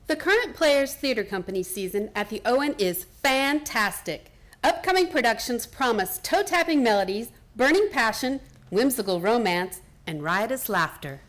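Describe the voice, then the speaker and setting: Woman, advert announcer, high-pitched, upbeat scripted theater promo read. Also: lively intonation, normal speed.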